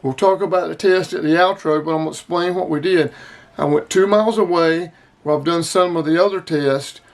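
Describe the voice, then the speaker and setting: High-voiced man reviewing gear. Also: fairly quick, creaky. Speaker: host of an outdoor gear review channel. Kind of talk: casual vlog product review.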